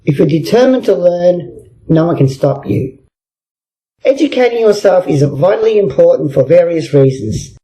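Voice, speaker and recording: A man's voice: high-pitched, expressive, normal speed, dark tone, low-quality recording. A man reading a scripted motivational text aloud. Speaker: reader.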